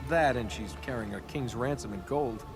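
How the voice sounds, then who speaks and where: An actor playing a young, roguish, wisecracking adventurer in a video game, with sarcastic, flippant banter. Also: male voice, medium pitch, moderate pace, over music, natural voice. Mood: wry, teasing.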